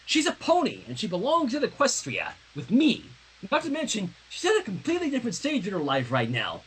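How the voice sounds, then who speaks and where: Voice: mid-range voice, huge pitch swings, brisk, fairly bright tone, slightly rough, voice pushed up, exaggerated voice, rough recording. A reader voicing a studious, earnest young pony princess in human form, lecturing in a stern and emphatic way for a fan story reading. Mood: stern, insistent.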